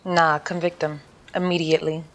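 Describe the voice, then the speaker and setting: A woman's voice: low voice, little pitch movement, normal speed. A woman streamer giving casual commentary over a video game. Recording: game music behind the voice.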